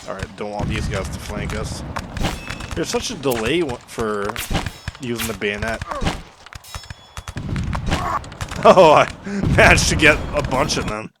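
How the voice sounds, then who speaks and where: A man's voice: mid-range voice, expressive, measured pace, pauses often. A man from Western Canada with a Canadian accent, giving casual, rambling gameplay commentary as a video host. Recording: noisy, game gunfire behind voice. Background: game battle sounds with gunfire.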